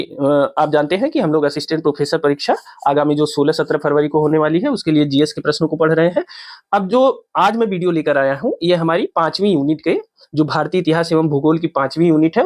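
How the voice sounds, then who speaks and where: High-pitched male teacher. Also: no room sound, clean studio sound. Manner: didactic, repeats points and asks check questions. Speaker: teacher, exam coaching instructor. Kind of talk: informal exam-prep lecture.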